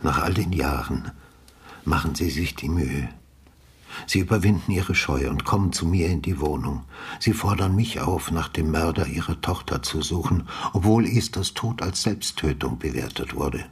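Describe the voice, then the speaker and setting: An actor playing a calm, courteous older retired police detective who questions methodically in a measured way, with a husky, breathy bass, in a radio drama. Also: male voice, full character voice. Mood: measured, probing.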